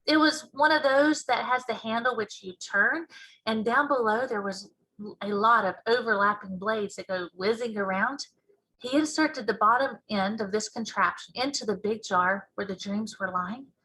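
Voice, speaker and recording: A woman reads a children's story aloud in a teacher's narration. Her voice sits fairly high, bright and forceful, with a husky grain. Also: moderate pace, rough recording.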